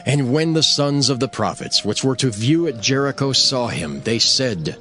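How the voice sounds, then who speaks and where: A man's voice: mid-range voice, expressive, normal speed, bright, forceful tone. A man giving solemn, dramatic scripted narration of scripture as a storyteller. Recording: music behind the voice. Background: prominent background music.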